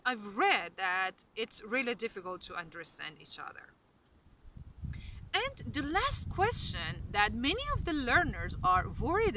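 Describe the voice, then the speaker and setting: Persian-accented woman, teacher, slow lively lesson outdoors, some distance from the camera, faint wind. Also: fairly high pitch. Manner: unhurried, with hesitant fillers. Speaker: language teacher. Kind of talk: casual spoken lesson to camera. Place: outdoors on a mountain hillside. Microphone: camera from a short distance.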